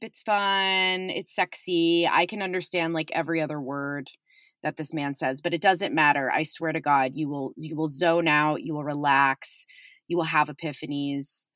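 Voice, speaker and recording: A woman's voice: sits low, a bit bright, no room sound, clean studio sound. A young woman hosting a podcast, talking candidly and swearing freely, with frequent laughs, recorded in a closet at home. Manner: candid, profane, bubbly, laughs often. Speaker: podcast host. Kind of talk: casual podcast intro and interview. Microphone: studio mic close up.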